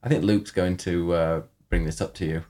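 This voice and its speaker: A man co-hosting a casual podcast, slightly hoarse from coughing, rambling and teasing, often cutting himself off mid-sentence. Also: sits low, steady tone, normal speed, dark tone. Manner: teasing, rambling, self-interrupting. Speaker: podcast co-host. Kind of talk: casual chat.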